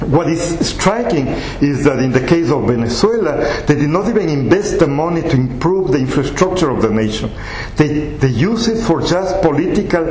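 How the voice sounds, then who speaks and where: A man with a fairly high voice lectures in a measured, explanatory way, his phrasing slightly non-native. He is recorded in an echoey lecture hall. Faint room noise sits behind him. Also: darkish tone. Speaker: guest lecturer. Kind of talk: lecture and audience Q&A.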